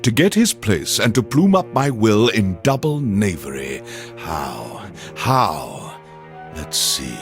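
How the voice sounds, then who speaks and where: Pompous, slow-speaking theatrical alien overlord. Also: male voice, on the low side, big pitch swings, faint music behind, full character voice. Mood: scheming, sinister. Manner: pompous, grandiose, deliberate enunciation. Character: pompous, theatrical alien overlord radio host, male, erudite and menacing. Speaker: radio DJ host. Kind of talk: theatrical Shakespearean recitation and radio presenting.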